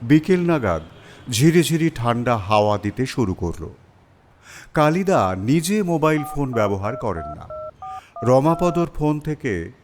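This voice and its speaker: A man's voice: medium pitch, noisy background. Male story narrator over faint ambience.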